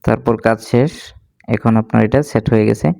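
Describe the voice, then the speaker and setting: Flat, mellow man giving a tutorial. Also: fairly low pitch, fairly quick, gravelly, dry recording, studio quality. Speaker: tech tutorial host. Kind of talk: step-by-step screen tutorial narration.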